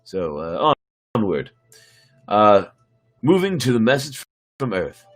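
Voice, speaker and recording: Husky man chatting on a livestream. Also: fairly low pitch, big pitch swings, measured pace. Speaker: host and story reader. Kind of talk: casual livestream chat between dramatic readings. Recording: music behind the voice.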